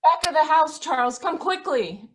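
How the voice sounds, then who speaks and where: A woman playing a frightened, bitter middle-aged wife in an old-time radio drama, intense and pleading, with a husky voice. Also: high voice, animated, normal speed. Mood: alarmed. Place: indoors, live video call performance.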